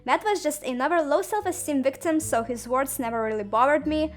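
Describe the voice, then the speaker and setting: Young woman, high voice, sarcastic storytime narration, soft music behind. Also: fairly quick. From Lithuania. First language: Lithuanian. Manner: sarcastic, dramatic and self-mocking. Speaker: animator and narrator. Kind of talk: comedic animated storytime narration.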